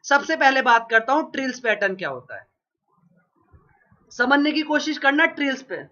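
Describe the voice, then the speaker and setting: A man's voice: very high voice, very animated, normal speed, sharp tone. A man giving an exam-prep geography lecture with a sore throat from a cold, coughing now and then and drilling each point by asking and repeating the answer. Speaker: geography teacher. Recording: lo-fi dull recording.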